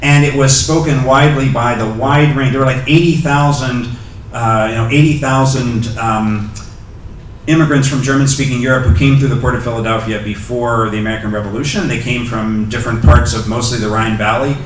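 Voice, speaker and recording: A man's voice: mid-range voice, steady tone, a little fast. Middle-aged guy at a podium, lots of ums and restarts, handheld mic, roomy hall, faint noise. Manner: hesitant, many ums and restarts. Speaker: guest lecturer. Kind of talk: lecture Q&A answers. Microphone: handheld microphone. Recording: echoey hall audio from far off.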